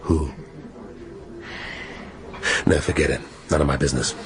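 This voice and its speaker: An actor playing a probing, wry police inspector in a radio drama, questioning tersely. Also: male voice, a bass voice, fairly even intonation, unhurried, a crowd in the background. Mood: wry curiosity. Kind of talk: naturalistic British radio drama acting.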